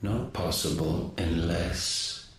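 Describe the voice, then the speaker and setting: An aloof, godlike being from a fantasy video game. His low voice moves extremely slowly, with grave, formal deliberation. Every word is drenched in echo, as if he ponders from far above mortals. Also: steady tone. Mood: aloof, musing. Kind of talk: dramatic video game voice acting. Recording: heavily echoing voice.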